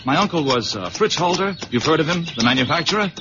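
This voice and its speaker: An actor playing an eager, excitable young nephew in a 1970s radio drama, insistent and effusive. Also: male voice, a little high, fast talker, natural voice. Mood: friendly, insistent. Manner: insistent, effusive, repeats words. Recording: muffled, noisy old radio recording.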